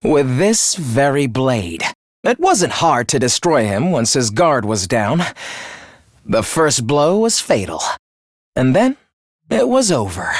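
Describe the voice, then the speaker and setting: A volatile, theatrical young student. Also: male voice, mid-range voice, very animated, slow, no echo, clean studio sound. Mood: cold, calm confession. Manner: theatrical, articulate, swinging from earnest to cold contempt. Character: young male student, earnest surface, cold misanthropic killer beneath. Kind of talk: dramatic anime-style video game voice acting.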